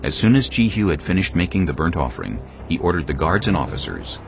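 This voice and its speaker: A man with an extremely deep, gravelly voice reads scripture steadily and in measured tones, with a lively rise and fall in pitch. The sound is a bit dull, with faint music underneath. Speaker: narrator. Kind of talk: scripted Bible narration.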